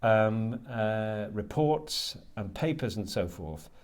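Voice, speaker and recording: A man answering interview questions as a writer and lecturer, thinking aloud haltingly and often repeating words as he works out his ideas. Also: lowish voice, expressive. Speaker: guest, creative writing lecturer and writer.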